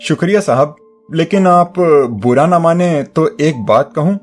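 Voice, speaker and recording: An actor playing a humble, honest poor village carpenter, deferential and pleading, in a dramatized moral story. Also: male voice, fairly high pitch, expressive, measured pace, soft background music. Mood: respectful.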